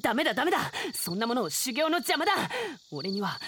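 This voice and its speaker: Young cat samurai boy, brusque, proud, high and swooping, insects chirping clearly behind. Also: quick pace, background noise. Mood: flustered, defiant. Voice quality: boyish, catlike slurred "nya" sounds. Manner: brusque, excitable rough boyish speech. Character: young male cat samurai trainee, stubborn, proud, easily tempted. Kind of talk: comic Japanese radio drama acting.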